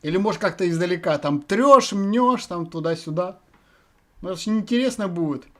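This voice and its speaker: A man's voice: high-pitched, lively intonation, fairly quick, sharp tone. A man from Russia vlogging from random video chats, thinking aloud to his viewers in a rambling, hesitant way full of filler words.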